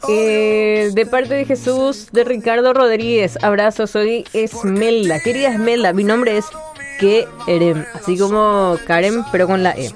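A woman's voice: mid-range voice, steady tone, unhurried, faint music behind. A woman with a Paraguayan Spanish accent hosting a radio show, chatting playfully and reading listener messages. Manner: chatty and playful, laughs often. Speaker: radio host.

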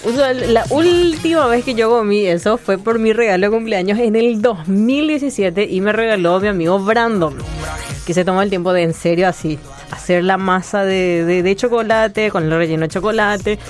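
A woman's voice: medium pitch, normal speed, faint music behind. A woman with a Paraguayan Spanish accent hosting a radio show, chatting playfully and reading listener messages. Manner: chatty and playful, laughs often. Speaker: radio host.

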